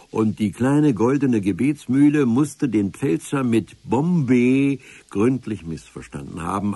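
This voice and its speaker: An actor narrating as a ministry official keeping the record, measured and ironic, in a radio play with a husky voice. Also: male voice, lowish voice, dark tone, no echo, full character voice.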